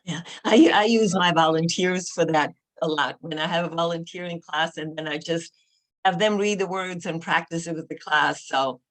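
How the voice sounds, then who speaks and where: Lowish-voiced woman teacher on a video call, telling how she runs her class. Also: normal speed. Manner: friendly, anecdotal. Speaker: adult ESL teacher. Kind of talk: casual discussion among teachers.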